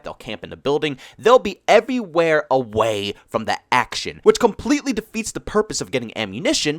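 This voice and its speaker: A young man with a stuffy, congested voice gives an emphatic gaming commentary that builds into excited peaks. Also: medium pitch, no echo, clean studio sound. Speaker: gaming YouTuber.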